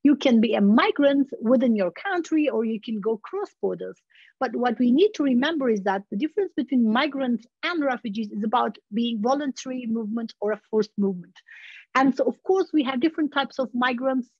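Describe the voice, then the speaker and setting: A woman's voice: a little high, expressive, brisk, breathy edge, dry recording. A woman, giving an academic webinar lecture over a video call, talking casually with many "you know, like" asides and self-corrections. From Turkey. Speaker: university researcher giving a webinar. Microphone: laptop video call. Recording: muffled video-call audio.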